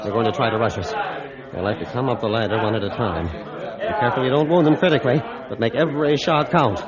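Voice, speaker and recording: A man's voice: medium pitch, fast, over a noisy crowd, lightly acted. An actor playing a stern, steadfast male ship captain in a 1950s radio adventure drama. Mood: stern, urgent. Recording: dull lo-fi 1950s radio recording.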